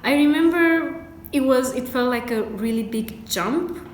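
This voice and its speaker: A woman's voice: high-pitched, unhurried, a little hiss or hum. Young woman from Romania. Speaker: PhD student. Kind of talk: casual interview. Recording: echoey room, faint ambience.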